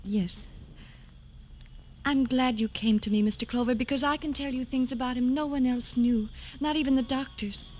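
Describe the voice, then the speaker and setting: An actress playing a gentle, devoted young woman in grief, tender and theatrical in the manner of old radio melodrama. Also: sits high, steady tone, normal speed. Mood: subdued. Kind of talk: 1950s radio melodrama acting. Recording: slightly noisy vintage radio recording.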